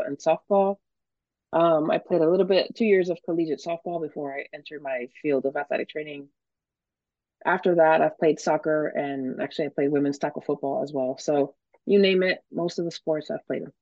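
A woman's voice: low-pitched, brisk, a little mellow. A woman professor from Miami explains her field fluently as an interview guest, sprinkling in fillers as she goes. Her audio is slightly dull and muffled, with a very dry, close sound and no room echo. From Miami, Florida. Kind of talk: interview answers.

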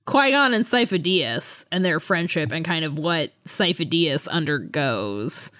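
A woman co-hosting a podcast, chatting casually about books and fandom in an animated, playfully dramatic way. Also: deep voice, unhurried. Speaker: podcast co-host. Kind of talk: casual book and fandom chat.

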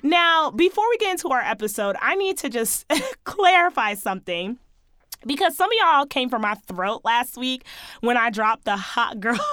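Female podcast host, a touch hoarse, sassy and chatty, higher voice, dry close sound, faint music underneath. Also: bright, forceful tone, no echo. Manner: sassy, chatty, playful asides to listeners. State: slightly hoarse, losing her voice. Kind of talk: casual solo podcast chat.

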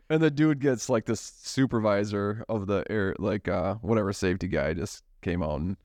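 Low-voiced man telling a rambling story. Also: normal speed, darkish tone, no echo, clean studio sound. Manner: rambling storyteller, laughs often. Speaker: podcast co-host. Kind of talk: casual chat.

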